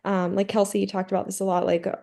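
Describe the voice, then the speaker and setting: Candid young woman with a gravelly edge. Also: mid-range voice, little pitch movement, quick pace, mellow. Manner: candid, emphatic, casual. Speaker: emergency medicine resident. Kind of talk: webinar panel advice. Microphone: video call.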